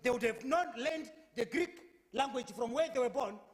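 High-voiced young man preaching at a lectern. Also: normal speed. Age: young adult. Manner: earnest, quotes verses at length, repeats words when restarting. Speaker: preacher in a public debate. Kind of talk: scripture-quoting debate address. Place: lecture hall, at a lectern before a brick wall. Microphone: lectern microphone.

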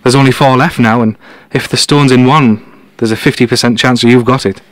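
A man playing a confident, quick-witted amateur detective in an audio drama, explaining his deductions in a teasing, conversational way. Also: medium pitch, fairly even intonation, dry recording, lightly acted. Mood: teasing, reasoning. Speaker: podcast guest detective.

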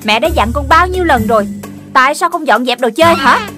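Nagging, high-voiced cartoon mother dog. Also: animated, fairly quick. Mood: exasperated. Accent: southern Vietnamese. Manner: nagging, stern. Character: exasperated, scolding mother dog. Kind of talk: children's cartoon dubbing. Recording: music behind the voice.

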